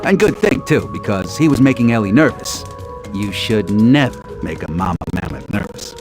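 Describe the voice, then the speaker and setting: A man voicing a dry, skeptical sabretooth tiger uncle in an animated game, teasing and deadpan but gruffly affectionate. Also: on the low side, moderate pace, over music, close to an everyday voice. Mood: wry amusement. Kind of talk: animated game cutscene dialogue.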